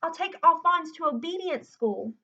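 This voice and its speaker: A woman's voice: very high voice, expressive, moderate pace, bright, forceful tone, highs cut off, pitched above the performer's own voice, exaggerated voice. A woman voicing an eager young schoolboy in a storybook, earnest and exclaiming, devoted to his pet. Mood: earnest, pleading.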